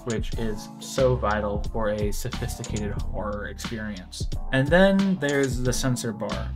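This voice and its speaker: A man's voice: fairly low pitch, a little slow. A young American man narrating an opinionated commentary video, emphatic and at times exasperated. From United States. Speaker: video essay creator. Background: background music.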